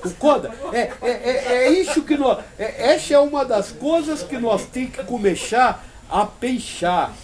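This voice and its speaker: A man's voice: very high voice, lively intonation, unhurried, hardly any pauses, a crowd in the background. A Brazilian man leading an informal spiritual discussion, answering with emphatic repetition and rhetorical questions. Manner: emphatic, repeats phrases, uses rhetorical questions.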